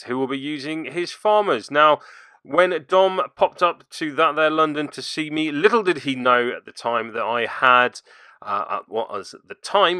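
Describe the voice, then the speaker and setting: A man's voice: mid-range voice, sharp tone, no room sound. A man hosting a web series, giving jokey, self-deprecating commentary on tabletop games with theatrical turns of phrase. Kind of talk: tabletop game video commentary.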